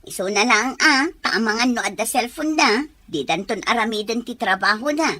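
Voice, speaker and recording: A woman's voice: on the high side, animated, moderate pace, sharp tone, no echo. A woman acting a harsh, bossy aunt in a drama, scolding and commanding. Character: harsh, bossy aunt resentful of orphaned relatives. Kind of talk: Ilocano radio drama acting.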